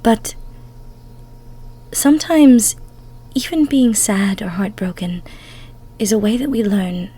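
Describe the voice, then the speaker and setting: A woman playing a tender, emotional goddess of love in an intimate roleplay audio, cooing soothingly in a breathy, near-whispered voice. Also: medium pitch, takes it slowly, long pauses, mellow. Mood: tender, advising. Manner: soothing, cooing, coddling with pet names.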